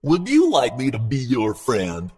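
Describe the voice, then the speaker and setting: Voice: mid-range voice, huge pitch swings, a little slow, darkish tone, slightly rough, in a large hall, soft background music, heavily acted. An actor voicing a cheerful, needy toy animatronic entertainer in a video game, theatrical and falsely friendly with menace underneath. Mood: playful menace.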